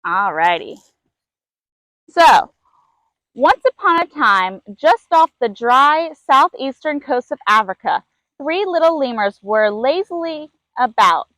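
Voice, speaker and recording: A woman's voice: high voice, sing-song, slow, fairly bright tone, no room sound, low-quality recording. A woman reading a children's storybook aloud as a narrator. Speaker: zoo keeper reading a story.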